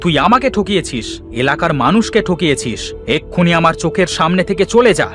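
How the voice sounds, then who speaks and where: A man voicing a kind, trusting village farmer in a Bengali cartoon folktale, with an expressive, exclamatory delivery over music. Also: high-pitched, fast. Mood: indignant. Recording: music behind the voice.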